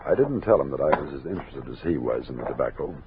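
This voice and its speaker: An actor playing a steady frontier army captain who leads an expedition and narrates it in measured, commanding old-time radio style. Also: male voice, extremely low pitch. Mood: reflective narration. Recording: tinny noisy old radio recording.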